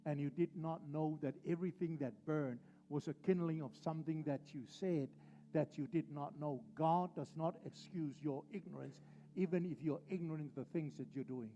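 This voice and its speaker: A male pastor preaching a sermon, driving his points home with slow, repeated phrases. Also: a little high, steady tone, mellow, highs cut off.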